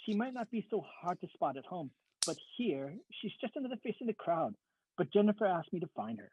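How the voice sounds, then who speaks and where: A man's voice: high-pitched, very animated, a little fast, background noise. A man reading dialogue casually in an amateur group read-through, on a slightly dull microphone. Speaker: reader in an amateur comic dramatic reading.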